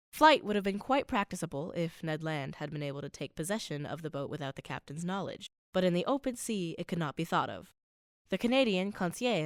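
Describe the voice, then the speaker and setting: A woman performing as the reflective narrator of a radio play, with a formal, measured delivery. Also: fairly low pitch, dry recording, studio quality, natural voice. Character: reflective first-person narrator of a sea voyage. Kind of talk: dramatic scripted narration.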